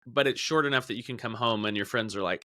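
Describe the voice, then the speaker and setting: Man, thirties, bright crisp tone, casual podcast chat, dry sound. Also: medium pitch, quick pace, no echo. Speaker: podcast host.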